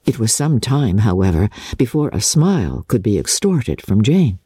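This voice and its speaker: A woman narrating a classic novel in a measured, formal and expressive dramatic reading. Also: a bass voice, husky, no room sound. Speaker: audiobook narrator.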